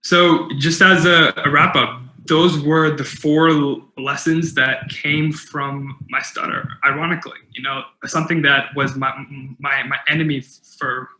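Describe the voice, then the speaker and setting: A man's voice: a little high, little pitch movement, a little slow, sharp tone, reverberant, rough recording. A young man giving an earnest motivational keynote, with stuttered repetitions and fillers. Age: young adult. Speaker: keynote speaker.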